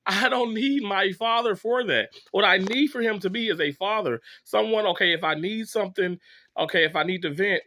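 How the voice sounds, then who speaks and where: A man's voice: sits high, pushed and bright, no echo. A man in his thirties, sick with a cough, telling a rambling, exasperated story to his viewers with many fillers and restarts. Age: 30s. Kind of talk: casual story time monologue.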